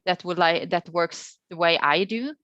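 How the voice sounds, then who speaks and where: A woman researcher with a fairly low voice explains her points in an online Q&A. She speaks haltingly, repeating words as she searches for the next one. The video call sound is dry and somewhat dull. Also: a bit bright, dry recording. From Sweden. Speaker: guest researcher and speaker answering questions. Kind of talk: webinar Q&A, unscripted explanation.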